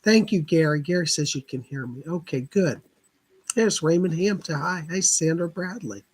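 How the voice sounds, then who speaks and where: A man hosting a live psychic reading stream, hoarse from laryngitis, chatting casually and clearing his throat often. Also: on the high side, normal speed, a little mellow. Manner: chatty, greets viewers by name, keeps clearing his throat.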